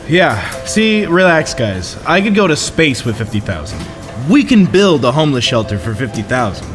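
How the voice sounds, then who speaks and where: Guy acting cocky schemer, highish voice that swings around, bit of music under. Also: big pitch swings, moderate pace. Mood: confident. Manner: reassuring, boastful. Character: overconfident man scheming to build a shelter.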